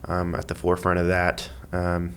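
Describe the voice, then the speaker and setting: A man chatting casually as a podcast guest, in a halting, rambling way as he thinks aloud. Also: sits low, completely flat delivery, fairly bright tone, a little hiss or hum. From Missouri, United States. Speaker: podcast guest, amateur athlete and school IT worker. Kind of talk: casual interview chat.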